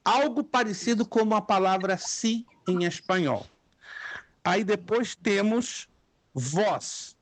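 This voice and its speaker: A man's voice: high-pitched, takes it slowly. A middle-aged man, a native speaker of Spanish and Hebrew, teaching a class and patiently repeating words for students to echo. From Brazil. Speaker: theology teacher. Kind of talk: online class lecture.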